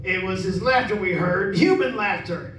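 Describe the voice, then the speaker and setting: A man's voice: high voice, expressive, normal speed, fairly bright tone. A man playing a stubborn, proud, scornful master of a haunted house in an old-time radio horror play, arguing emphatically. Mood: accusing, insistent. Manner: emphatic, argumentative. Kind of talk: 1930s radio horror acting. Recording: echoey room, distant mic, faint noise.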